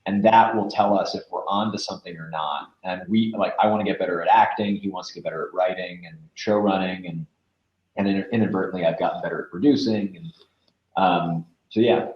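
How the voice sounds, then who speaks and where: A man chatting casually as an interview guest, thinking aloud in a halting, informal way. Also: sits low, fairly even intonation, muffled recording. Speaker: podcast guest, actor and producer. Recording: roomy, echoing sound.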